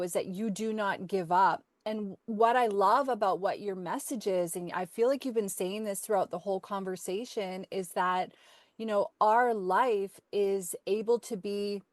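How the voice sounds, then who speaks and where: A woman's voice: mid-range voice, unhurried, no room sound, clean studio sound. A woman hosting a podcast, asking long, affirming questions in an enthusiastic, rambling way. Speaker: podcast host. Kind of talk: conversational interview.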